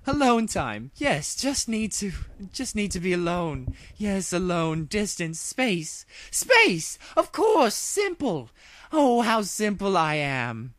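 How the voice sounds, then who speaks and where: A man's voice: high-pitched, wildly swooping pitch, slow pace, fairly bright tone, dry recording, voice pushed up, heavily acted. A man voicing a manic, haughty female pony princess with theatrical, archaic royal speech in a comic story reading. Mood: manic, elated.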